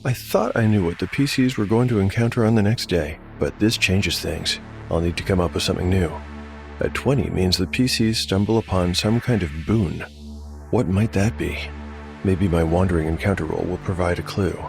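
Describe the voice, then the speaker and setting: Low, gravelly male storyteller over music. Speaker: solo game master and narrator. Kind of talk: dramatic storytelling narration with game commentary. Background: prominent music.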